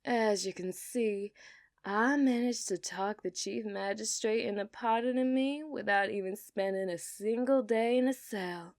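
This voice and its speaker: Woman doing a dominant outlaw cowgirl, put-on Southern drawl, slow delivery, soft whispers, ASMR roleplay. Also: mid-range voice, dry recording, clean studio sound, close to an everyday voice. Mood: menacing. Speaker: ASMR performer.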